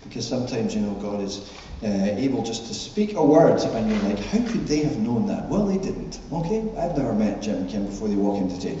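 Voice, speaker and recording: A man's voice: lowish voice, sing-song, brisk, hardly any pauses, mellow. A man leading a church service, speaking informally to a congregation or reading scripture. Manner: chatty, off the cuff. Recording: echoey hall, distant, dull, faint noise.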